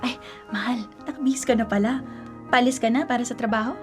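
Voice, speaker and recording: A woman's voice: a little high, fast talker, faint music behind, close to an everyday voice. An actress playing a self-centred, sweet-talking young girlfriend in a Filipino radio drama, with a Filipino accent. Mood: gushing, excited. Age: young adult. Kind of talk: Tagalog radio drama acting.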